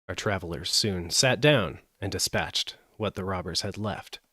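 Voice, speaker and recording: A man narrating a fairytale as a live audiobook, with an expressive, measured delivery. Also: low voice, dry recording, studio quality. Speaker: storyteller.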